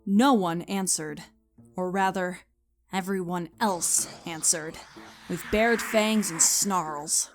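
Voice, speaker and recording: A woman's voice: lowish voice, fairly even intonation, takes it slowly, music in the background. A woman reading scripted story narration in a dramatic, storytelling style.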